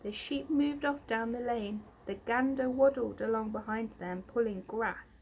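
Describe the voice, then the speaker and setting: A woman's voice: a little high, unhurried, a little mellow, a little hiss or hum. A woman narrating a children's book expressively, like a teacher reading aloud. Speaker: reader, teacher.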